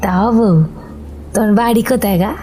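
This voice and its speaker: Woman acting a slow, sinister ghostly village woman. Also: medium pitch, warm tone, slight background noise, close to an everyday voice. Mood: prying. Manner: slow muttering, drawn-out, menacing speech, at times breathy whispering. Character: gaunt, sinister female ghost villager, hungry, bitter, vengeful. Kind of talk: horror audio story acting.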